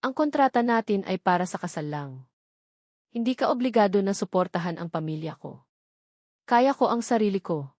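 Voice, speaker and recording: A woman's voice: medium pitch, lively intonation, moderate pace, long pauses, darkish tone, dry recording, clean studio sound, close to an everyday voice. A woman narrator voicing a proud, stubborn young breadwinner, polite but firm, in an audio storybook. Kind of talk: audio storybook character dialogue.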